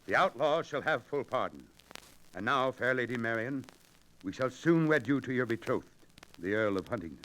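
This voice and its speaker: Man playing a lively, stately fighting churchman. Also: mid-range voice, moderate pace, close to an everyday voice, poor audio. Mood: regal, benevolent. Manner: stately, assured, archaic diction. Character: hearty fighting abbot, secretly a commanding, kindly king. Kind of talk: 1940s radio drama acting.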